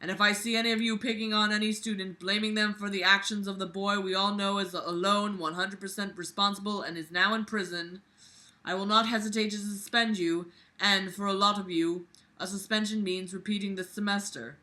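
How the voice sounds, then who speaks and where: A woman's voice: mid-range voice, fairly even intonation, a little fast, pushed and bright, natural voice, low-quality recording. A woman voicing a stern, authoritative male school principal from a novel, in a commanding, declamatory manner. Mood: stern, announcing.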